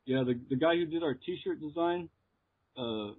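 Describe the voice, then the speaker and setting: A man from Texas with an American accent, chatting casually and haltingly as he is interviewed as a musician. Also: fairly high pitch. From Texas, United States. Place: remote call. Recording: muffled, tinny phone line.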